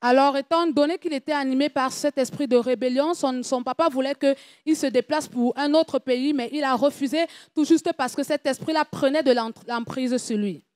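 High-voiced woman interpreting in French. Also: moderate pace, hardly any pauses, darkish tone, no room sound. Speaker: church interpreter.

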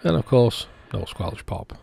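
A man's voice: mid-range voice, big pitch swings, a little slow, creaky, warm tone, breathy edge. A man giving a casual, matter-of-fact workbench narration as the host of an electronics repair video.

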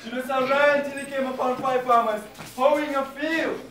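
High-pitched young man narrating on stage. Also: steady tone, normal speed, a bit bright. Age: young adult. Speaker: student narrator. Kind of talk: readers theatre narration. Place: large lecture hall, far camera in audience. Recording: very echoey lecture hall, distant, audience murmur.

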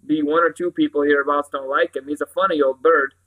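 A man's voice: on the high side, fairly even intonation, a little fast, pushed and bright, low-quality recording. A man voicing a middle-aged village police sergeant in an audiobook, folksy and confident, dropping words as he talks. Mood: chatty. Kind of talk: audiobook character voice.

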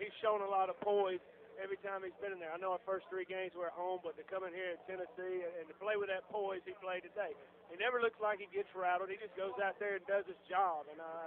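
A male football coach talks fast in a rather high voice. He is plain-spoken and doubles back on phrases as he answers in a post-game interview. The radio recording is thin and muffled. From Georgia, USA. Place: locker room.

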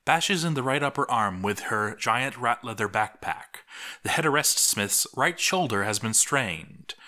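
Slow, dramatic male story narrator. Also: medium pitch, lively intonation, pushed and bright. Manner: theatrical, measured, lingering on phrases.

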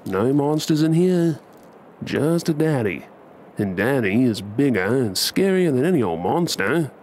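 A man's voice: mid-range voice, sing-song, measured pace, darkish tone, a little hiss or hum. A man with an Australian accent playing a tender, playful husband and dad in an intimate ASMR role play, affectionate and coaxing. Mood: reassuring.

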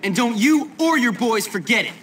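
A tough American schoolboy from a video game, high and bright in voice. He is brash and sarcastic, with lively swings of pitch and a boastful streak. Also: moderate pace, slight background noise. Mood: triumphant boasting. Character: cocky, tough teenage schoolboy brawler. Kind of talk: video game cutscene acting.

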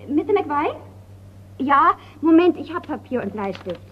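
A woman's voice: high voice, very animated, measured pace. An actress playing a polite, composed lady of a country estate in a radio crime drama. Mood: polite, businesslike. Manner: courteous. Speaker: radio play actor. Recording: distant, dull, noisy radio play recording.

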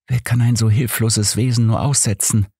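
A man voicing a caring, observant woman in an audiobook, gentle and polite. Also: mid-range voice, steady tone, moderate pace, vocal fry, slightly rough, no echo, clean studio sound, voice pushed up. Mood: concerned. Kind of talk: audiobook character dialogue.

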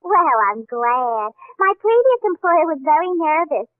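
A woman's voice: sits high, very animated, unhurried, no echo. An actress playing a flustered young substitute secretary in a 1940s radio comedy, mock-formal and forever mangling her words. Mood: eager, muddled. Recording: tinny, narrow-band 1940s radio recording.

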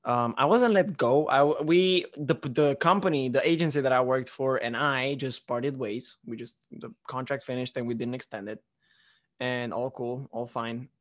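A man's voice: mid-range voice, steady tone, moderate pace. Young guy from romania rambling on his home podcast, lots of ums and restarts, close mic. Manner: rambling, many restarts and fillers. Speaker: podcast host. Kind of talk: casual chat. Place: living room at home. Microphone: studio mic on boom arm, close.

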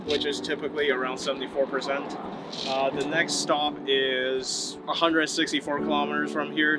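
A man in his thirties filming an electric car road-trip vlog at highway rest stops, talking to camera in a relaxed way with lots of "uh" fillers. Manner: relaxed, frequent "uh" fillers, matter-of-fact. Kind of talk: casual travel vlog to camera. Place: outdoors at highway rest stops and charging stations. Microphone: camera mic, filmed at close to mid range. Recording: outdoor camera audio with clear background noise.

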